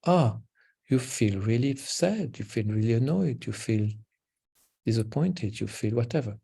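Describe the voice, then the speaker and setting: A man speaking gently and slowly as a parenting coach in a webinar talk over a video call, often repeating words softly. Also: mid-range voice, expressive, creaky, slightly rough.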